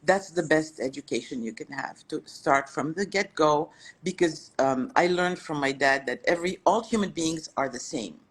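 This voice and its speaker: A woman's voice: sits low, normal speed, breathy edge. An older woman from Lebanon whose first language is French, a restaurateur answering interview questions in a reflective way, with frequent fillers and restarts. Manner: warm-hearted, reflective, with many fillers and restarts. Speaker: guest, restaurateur.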